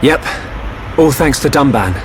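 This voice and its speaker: An actor playing a thoughtful, sincere young hero in a video game, slightly hesitant. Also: male voice, mid-range voice, expressive, a little slow, background noise, natural voice. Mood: earnest. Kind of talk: video game cutscene acting.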